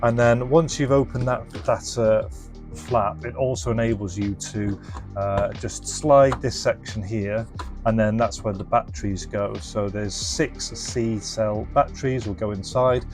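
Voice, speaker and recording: A man with a fairly low voice presents a casual hands-on demonstration. He explains things informally, thinking aloud as he works through each step. Some music can be heard with his voice. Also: fairly even intonation, unhurried, darkish tone. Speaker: presenter and reseller of restored retro electronics.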